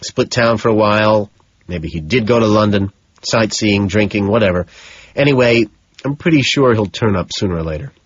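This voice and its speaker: A man's voice: fairly low pitch, normal speed, slightly rough, no echo, muffled recording. A man voicing an earnest, slightly sheepish detective in an audiobook. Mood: confiding. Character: earnest, rumpled, sheepish male detective questioning a suspect. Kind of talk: audiobook character dialogue.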